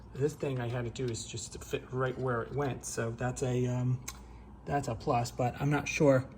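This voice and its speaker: Halting man thinking aloud over noise. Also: medium pitch, darkish tone. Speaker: hobbyist repairer presenting own video. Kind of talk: casual unscripted how-to narration while working.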